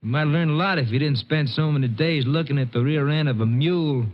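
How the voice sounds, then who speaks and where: Man acting a taunting Texan villain, highish voice. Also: brisk, close to an everyday voice. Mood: needling. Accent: rural Texan. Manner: needling, insolent drawl. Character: menacing, resentful ne'er-do-well farm brother, taunting and greedy. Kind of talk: vintage radio western drama acting. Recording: muffled vintage radio recording.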